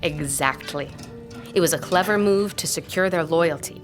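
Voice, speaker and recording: An actress playing an earnest, courteous tutor in an audio drama, speaking in a measured way. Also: female voice, fairly low pitch, soft background music, lightly acted. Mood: instructive. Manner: measured, didactic, polite.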